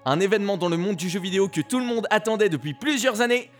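An actor playing an excitable, gushing male presenter of a video game radio show in an audio drama. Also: high voice, quick pace, soft background music, close to an everyday voice. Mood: excited, welcoming.

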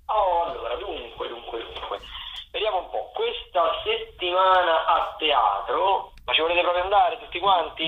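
A man's voice: on the high side, lively intonation, normal speed, slight background noise. A man presenting theatre listings as a chatty, playful critic over a phone line. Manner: playful, discursive, with asides. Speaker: theatre critic, radio contributor. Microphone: telephone.

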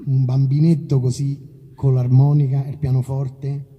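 An Italian man, a musician, chatting informally between songs in a halting, self-deprecating, rambling way. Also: medium pitch, little pitch movement, dark tone, dull audio. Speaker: musician performing and introducing songs. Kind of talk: casual rambling stage talk between songs. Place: outdoor festival concert.

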